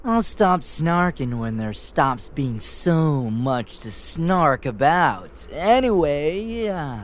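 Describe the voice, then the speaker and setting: A man's voice: fairly high pitch, big pitch swings, slow pace, slight background noise. A man playing a snarky, whining complainer in an audio drama, sarcastic and exasperated in a theatrical way. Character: snarky, whining male complainer with mocking wit.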